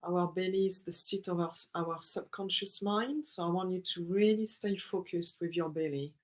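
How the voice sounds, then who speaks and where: A breathwork facilitator guiding a client through a breathing session with gentle instructions and spoken affirmations. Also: medium pitch. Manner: slow, gentle, soothing instructions.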